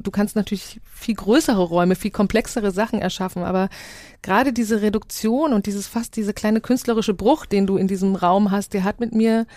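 A woman with a soft, dark-toned voice talks in a casual discussion. She thinks aloud as a radio journalist, reshaping sentences as she goes. The sound is very dry. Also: medium pitch, no echo. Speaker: radio journalist and panel guest.

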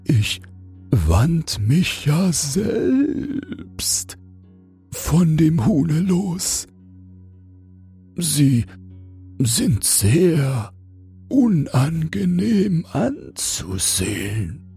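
A man's voice: a little high, sing-song, very slow, vocal fry, long pauses, dark tone, soft background music, pitched above the performer's own voice, full character voice. A man voicing a haughty little caterpillar that drawls in a pompous, indignant way for a storybook. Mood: indignant, possessive. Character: slow, haughty, self-important little caterpillar.

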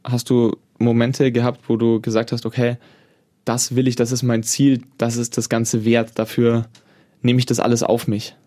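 A man's voice: mid-range voice, monotone, normal speed, mellow, dry recording, studio quality. A man asking interview questions plainly and directly.

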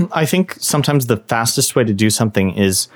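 A man's voice: medium pitch, sing-song, normal speed. A man who is a guest in an interview, explaining his advice on learning languages in a thoughtful, step-by-step way. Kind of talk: interview answers.

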